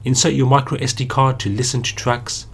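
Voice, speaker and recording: A man's voice: mid-range voice, flat delivery, fairly quick, gravelly, breathy edge. A man narrating a scripted product review as the host of a review channel.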